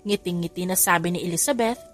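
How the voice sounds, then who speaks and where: A woman narrating a romantic comedy audiobook in a steady storytelling manner. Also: lowish voice, fast, soft background music.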